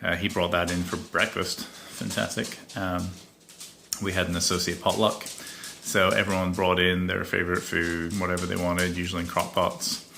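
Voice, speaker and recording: A man's voice: low voice, little pitch movement, moderate pace, creaky, noisy background. A young man from Scotland with a faded Scottish accent, rambling chattily through a solo podcast with lots of ums. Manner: rambling, chatty, many ums and self-corrections. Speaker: podcast host. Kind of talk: casual solo podcast chat.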